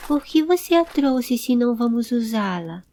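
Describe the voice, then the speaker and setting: Woman playing a high-pitched, anxious young male companion. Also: very animated, moderate pace, dark tone. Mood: anxious, pleading. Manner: urgent, distressed, pleading. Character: anxious, pleading young male companion, small and easily frightened. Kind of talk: audio drama character acting.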